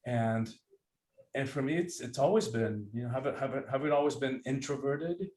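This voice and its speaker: A man's voice: mid-range voice, normal speed, a little mellow. A man from Cuba, a guest author and breathwork teacher, talking earnestly and reflectively over a video call. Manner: reflective, earnest, searching for words. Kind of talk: conversational interview. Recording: echoey room sound.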